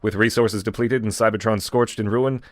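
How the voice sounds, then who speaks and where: Male co-host and reader, lower pitch, very dry close sound, deadpan casual delivery. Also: moderate pace, dry recording. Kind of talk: reading fan fiction aloud with casual asides.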